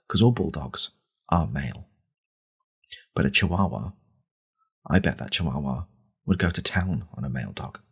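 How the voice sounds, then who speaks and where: A man playing an angry, pompous right-wing podcast host in a deadpan parody monologue, with a deliberate, conspiratorial manner. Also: heavily acted. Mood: earnest ranting. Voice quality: very deep, gravelly, husky.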